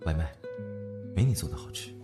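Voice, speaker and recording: A man's voice: a bass voice, expressive, fairly quick, loud music behind the voice. A man acting a possessive, tender lover in a Chinese audio drama, intimate and restrained. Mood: warm. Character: low-voiced, possessive, tender male lover.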